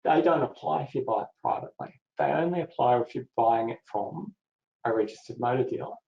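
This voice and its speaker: A man's voice: medium pitch, lively intonation, brisk, creaky. A man co-presenting a legal webinar, explaining points steadily and steering the session. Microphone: video call. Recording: dull echoey webinar audio.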